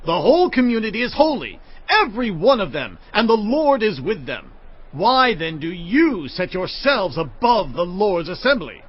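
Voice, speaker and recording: A man's voice: high-pitched, sing-song, normal speed, husky, exaggerated voice. A man playing an insolent rebel in a dramatised scripture reading, accusing and defiant, with emphatic delivery. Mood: defiant, indignant. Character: Korah, insolent rebel man confronting his leaders. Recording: slightly dull audio.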